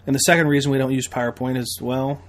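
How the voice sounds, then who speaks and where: A man's voice: mid-range voice, fairly even intonation, fast talker. An American man hosting a podcast, delivering a promotional monologue as a training instructor in an emphatic, folksy way with repetition and rhetorical questions.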